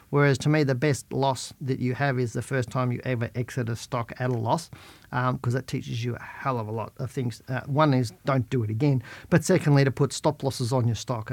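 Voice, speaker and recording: A middle-aged man with a croaky voice from a head cold, hosting a podcast and casually answering listener questions while reading stock charts. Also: medium pitch, a little mellow, dry recording. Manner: chatty, plain-spoken, talks while reading charts. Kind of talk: casual market commentary.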